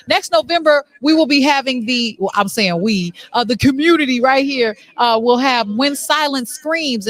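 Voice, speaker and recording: A woman's voice: high voice, a bit bright, poor audio. A woman hosting a show, conducting a casual interview on location in a conversational way. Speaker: talk show host interviewing.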